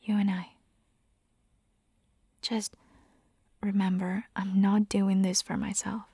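A woman voicing a caring, gently bossy vampire hunter girlfriend in a soft, breathy, half-whispered ASMR roleplay, close to the microphone. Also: medium pitch, flat delivery, slow, no echo, full character voice. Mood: earnest, hopeful.

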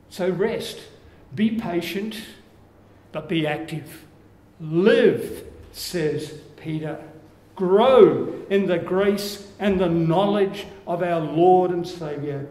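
Slow, measured older Australian pastor. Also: male voice, high-pitched, a little mellow. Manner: slow, measured preaching with falling phrase endings. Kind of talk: sermon. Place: church room with curtains. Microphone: lapel mic. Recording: echoey church room, faint background hiss.

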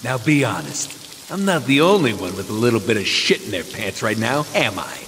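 An actor voicing a manic, foul-mouthed, wisecracking mercenary antihero in a video game, brash and goofy. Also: male voice, mid-range voice, sing-song, brisk, a little hiss or hum. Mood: sardonic. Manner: brash, goofy, swooping from mutters to shrieks. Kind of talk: video game cutscene voice acting. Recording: loud music and effects behind.

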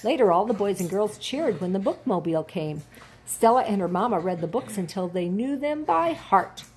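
A woman's voice: medium pitch, lively intonation, normal speed, darkish tone, husky. An older woman storyteller reading a children's picture book aloud. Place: indoors, at a desk at home.

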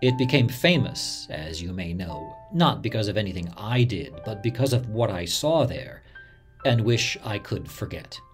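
A male actor plays a radio newsman looking back on a disaster. He tells it as a scripted first-person memoir, earnest and somber. Soft music sits behind the voice. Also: lowish voice, animated, moderate pace, close to an everyday voice. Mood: somber, reflective.

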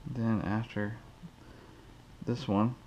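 A man's voice: on the low side, level tone, very slow, dull audio, a little hiss or hum. A man hosting a fishing channel, casually demonstrating a knot in his garage while counting the steps aloud. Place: cluttered garage, indoors. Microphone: head-mounted action camera.

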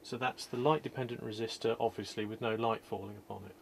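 A man calmly explaining an electronics repair at his workshop bench, step by step, with frequent ums and uhs. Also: fairly low pitch, quick pace, creaky, slight background noise. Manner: calm, methodical, many ums and uhs. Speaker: electronics restorer. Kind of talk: technical repair walkthrough.

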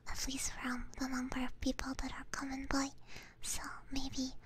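A young woman streaming ASMR, chatting casually to viewers in a soft, breathy, gentle whisper, close to a binaural microphone. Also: high-pitched, completely flat delivery, normal speed, slight background noise. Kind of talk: whispered ASMR livestream chat.

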